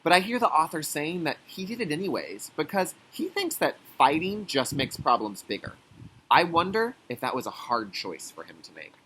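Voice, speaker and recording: A man who works as a kindergarten teacher, leading a read-aloud lesson with deliberate enunciation and an instructional manner for young children. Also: fairly high pitch, sing-song, bright, forceful tone, a little hiss or hum, low-quality recording.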